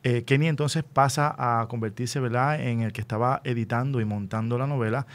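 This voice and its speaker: A man's voice: medium pitch, fairly even intonation, brisk. A Puerto Rican man hosting a conversational radio interview, explaining things and often checking in with small tag questions.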